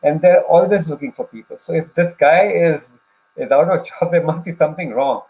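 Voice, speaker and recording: Bright-spoken male livestream host, somewhat high voice. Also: a little fast, poor audio. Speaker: co-host of a career advice livestream for immigrants. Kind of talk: conversational livestream discussion.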